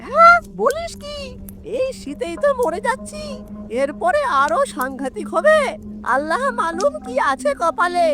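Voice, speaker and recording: A woman's voice: very high-pitched, very animated, unhurried, over music, pitched above the performer's own voice, heavily acted. A woman voicing a complaining, curious villager in a cartoon horror story, given to exclaiming and lamenting. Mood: fretful. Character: complaining, curious villager chatting on a winter night.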